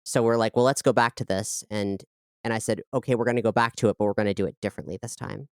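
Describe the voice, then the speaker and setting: A woman with a low voice explaining creative work as an interview guest, in a conversational style. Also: steady tone, quick pace, clean tone, no room sound, clean studio sound. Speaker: audio drama creator and director, interview guest.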